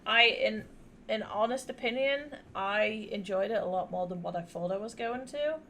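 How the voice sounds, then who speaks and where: A woman's voice: mid-range voice, normal speed, a bit bright. A woman giving informal let's play commentary, thinking aloud as she plays a video game. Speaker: gamer playing through a video game. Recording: clear background noise and game ambience.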